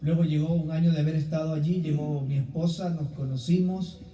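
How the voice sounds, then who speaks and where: A man's voice: on the high side, steady tone, brisk. A middle-aged man with an Ecuadorian Spanish accent speaking as a guest preacher to a church congregation. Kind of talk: sermon with personal introduction. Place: church hall, recorded from a distance. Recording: roomy, distant mic, faint background noise.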